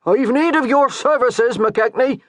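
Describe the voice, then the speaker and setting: A man's voice: high-pitched, lively intonation, normal speed, a bit bright, no echo, clean studio sound, voice pushed up. A man voicing a patient, dutiful medieval steward who speaks earnestly. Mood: shouting.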